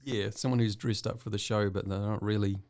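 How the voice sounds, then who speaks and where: Low-voiced New Zealand man. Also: brisk, no echo. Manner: relaxed, hesitant with many ums, chuckles often. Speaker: guest artist. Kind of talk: casual interview chat.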